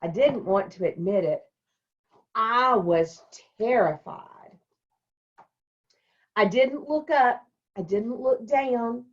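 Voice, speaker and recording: A woman reading a children's storybook aloud and talking to young pupils in simple, deliberate phrases. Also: fairly high pitch, lively intonation, dull audio. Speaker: elementary school staff member reading a picture book to children.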